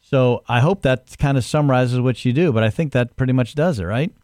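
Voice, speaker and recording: A man's voice: medium pitch, brisk, darkish tone, no echo, clean studio sound. A man hosting a podcast, introducing a guest and asking loose, rambling questions. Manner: friendly, rambling questions with restarts. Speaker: podcast host. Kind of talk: casual interview.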